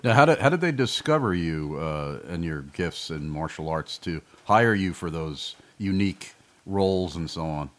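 A man's voice: sits low, lively intonation, normal speed. Jovial man hosting a radio show. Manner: jovial, joking. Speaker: radio host.

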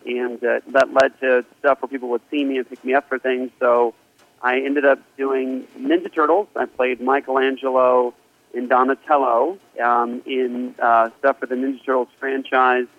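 A man's voice: medium pitch, dry recording. Earnest man on a tinny phone line. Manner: earnest, rambling with many fillers. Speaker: pastor, guest. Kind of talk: casual phone interview.

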